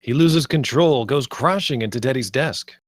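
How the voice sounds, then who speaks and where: A man's voice: mid-range voice, normal speed, no echo, clean studio sound. A man narrating the stage directions of a script at a table read.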